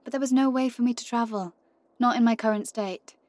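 A woman playing a wry, wistful giant from the country in a first-person audio drama monologue, telling her story expressively with hushed, confiding asides. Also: fairly high pitch, fairly even intonation, a little fast, dry recording, close to an everyday voice. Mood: subdued, wistful.

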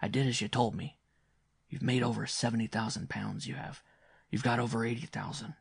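A respectful gardener at a sickbed, voiced by a male narrator. He speaks in a hushed, secretive manner with some creak in the voice, recorded dry for an audiobook. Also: medium pitch, fairly even intonation, brisk, no room sound. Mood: hushed, eager. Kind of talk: dramatised audiobook reading.

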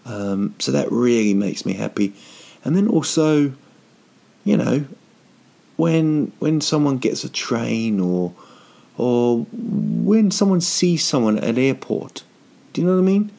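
A man's voice: mid-range voice, expressive, a little slow, creaky, warm tone. A man in his thirties, rambling through a comic vlog with dry, self-mocking asides and lots of ums. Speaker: vlogger.

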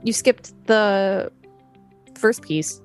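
Mid-pitched woman reading over faint music. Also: extremely slow, a little mellow. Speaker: podcast co-host. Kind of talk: casual chat and reading an encyclopedia article aloud.

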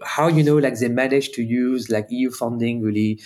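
A man's voice: mid-range voice, a little fast, a little mellow. A man chatting casually as a regular podcast commentator, with loose phrasing full of verbal fillers. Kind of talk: casual chat.

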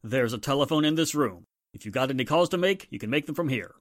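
A man performs a tough police inspector from an old radio drama. He is curt, bossy and sarcastic, with a mid-pitched voice recorded close and dry. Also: lively intonation, quick pace, no room sound, lightly acted. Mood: stern. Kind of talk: 1940s radio drama acting.

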